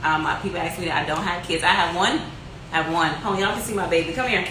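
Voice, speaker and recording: A woman's voice: lowish voice, quick pace. A Southern woman in her twenties from North Carolina, chatting casually and upbeat as she answers her viewers' questions. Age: 20s. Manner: chatty, upbeat, talks straight to viewers with lots of fillers. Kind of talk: casual livestream-style Q&A. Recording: echoey room with noticeable background noise.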